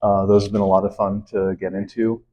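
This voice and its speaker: Low-voiced man chatting easily. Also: fairly quick, muffled recording. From Lebanon. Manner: relaxed, friendly, many fillers. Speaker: math teacher. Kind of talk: casual interview answers.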